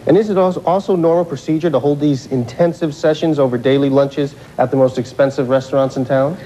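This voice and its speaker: An actor playing a formal, accusatory lawyer who puts pointed, insinuating questions in a courtroom drama. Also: male voice, a little high, steady tone, fairly quick, dark tone, natural voice. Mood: pointed, accusing. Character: brisk, accusatory cross-examining male lawyer. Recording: tinny narrow-band soundtrack, faint noise.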